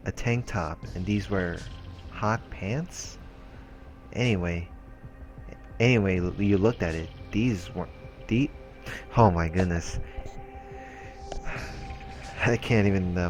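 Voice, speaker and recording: Low voiced guy reading a visual novel out loud for a lets play, game music behind him. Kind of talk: reading game narration aloud with casual asides.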